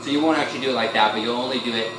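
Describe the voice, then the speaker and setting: A man explaining a musical technique informally as an instructor, pausing and correcting himself as he goes. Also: mid-range voice, fairly even intonation, distant mic, music in the background. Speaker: instructor demonstrating a talk box. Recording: echoey room, off-mic, some noise.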